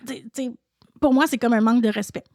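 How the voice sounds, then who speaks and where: A woman's voice: fairly high pitch, fairly even intonation, fast talker, a little mellow, no echo, clean studio sound. A woman with a Quebec French accent, hosting a podcast as a French teacher and talking casually to listeners with many restarts. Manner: conversational, many restarts and "tu sais" fillers. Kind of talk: casual solo podcast talk.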